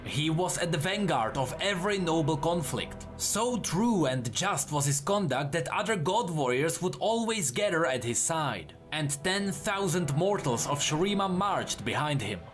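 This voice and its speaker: A man's voice: fairly high pitch, big pitch swings, normal speed, hardly any pauses, faint music behind. A man narrating fantasy lore as scripted, dramatic storytelling, with explanatory asides.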